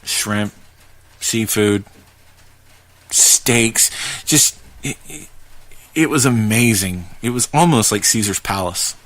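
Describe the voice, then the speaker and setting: A man narrating a first-person creepypasta in a conversational, halting way, with dramatic pauses as he goes. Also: on the low side, fairly bright tone. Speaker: podcast host reading a horror story.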